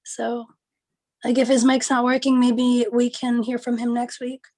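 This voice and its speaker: A woman with a high-pitched voice joins in an informal group discussion. She talks in a casual, conversational way. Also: level tone, measured pace, darkish tone. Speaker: participant in a group meeting.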